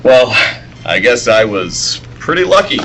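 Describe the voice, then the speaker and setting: A man's voice: medium pitch, sing-song, a little slow, a bit bright. A man playing a mild, modest newspaper reporter, polite and slightly hesitant, in old-time radio acting. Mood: modest, bashful.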